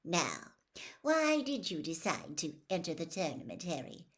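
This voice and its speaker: A woman narrator acts out a middle-aged female tabloid reporter from a storybook. She is gushing and falsely sweet, yet brisk and pushy. Her pitch swoops up and down as she coaxes and probes. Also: wildly swooping pitch. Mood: probing.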